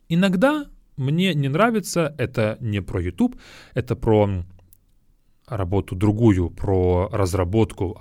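A man who is a native Russian speaker, hosting a podcast for learners, speaking slowly and repeating words to explain them. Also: mid-range voice, darkish tone, no room sound, clean studio sound. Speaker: Russian teacher and podcast host. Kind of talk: slow teaching podcast, Russian with English glosses.

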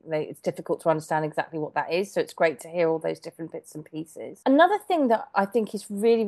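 A woman's voice: on the low side, warm tone. Enthusiastic woman hosting a podcast. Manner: enthusiastic, chatty, quick to praise. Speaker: podcast host. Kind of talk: interviewing a guest.

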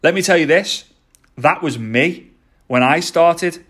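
Motivational podcast host, male, thirties, energetic, fairly high voice, repeats lines to drive them home. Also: slow, slightly rough. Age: 30s. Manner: energetic, repeats phrases for emphasis. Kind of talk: motivational talk.

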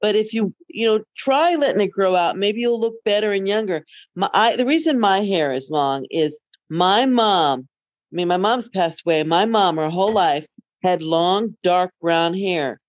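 A woman's voice: mid-range voice, expressive, moderate pace, no echo. A middle-aged woman co-hosting a podcast in casual conversation, blunt and wry, given to anecdotes and opinions. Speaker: podcast co-host.

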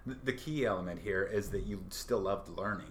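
A man working as a school principal, chatting casually as interviewer, lively and self-deprecating with lots of fillers. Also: fairly low pitch, a little fast, crowd noise.

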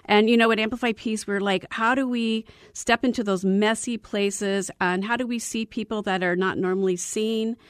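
A woman's voice: mid-range voice, fairly even intonation, moderate pace, few pauses, smooth, dry recording, studio quality. Bubbly woman radio co-host. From Arizona. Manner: bubbly, laughing banter. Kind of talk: casual chat and interview.

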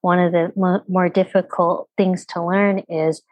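A woman hosting a conversational interview, speaking deliberately and repeating phrases for emphasis. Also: lowish voice, level tone, no room sound.

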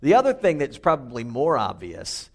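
A middle-aged man with a loud, resonant voice preaching a sermon in a small chapel over a microphone, telling personal stories with humor. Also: mid-range voice, normal speed, gravelly. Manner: lively, personal storytelling with humor. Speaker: pastor.

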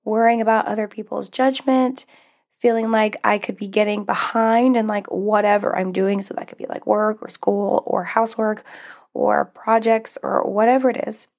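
A woman's voice: mid-range voice, flat delivery, moderate pace, gravelly, darkish tone. A young woman hosting her own podcast, talking casually and encouragingly with little laughs, her voice a bit strained as it recovers from being lost. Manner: upbeat, encouraging, with frequent little laughs and fillers. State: recovering from losing her voice, may crack. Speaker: podcast host and life coach. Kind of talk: casual solo podcast talk.

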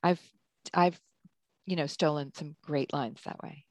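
A woman with a notably low voice and a faint Hungarian colouring to her English talks through her craft as an editor and writing coach. She thinks aloud, filling pauses with ums and restarting sentences. The sound is close and dry. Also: steady tone, darkish tone, dry recording. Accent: slight Hungarian-tinged English. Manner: thoughtful, frequent ums and restarts. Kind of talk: conversational interview answer.

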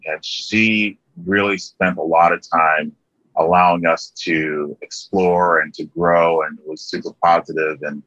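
A deep-voiced middle-aged man from Liberia talks as a guest in a relaxed interview, full of ums and restarts. His tone is bright and forceful, and the recording sounds dull and lo-fi with a dry, close feel. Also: dry recording. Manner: relaxed, many ums and restarts, laughs easily. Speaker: interview guest, landscape architect. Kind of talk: casual interview conversation.

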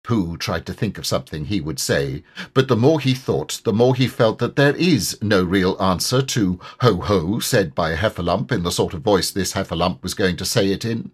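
A man narrating a children's storybook in an expressive read-aloud style. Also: deep voice, moderate pace, husky. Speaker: audiobook narrator and voice actor.

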